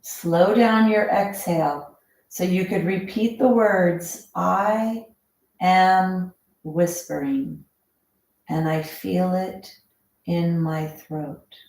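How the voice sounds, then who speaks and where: Woman doing guided breathwork, really slow n calm, soothing, lots of pauses, super echoey room. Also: fairly low pitch. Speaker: breathwork or meditation instructor.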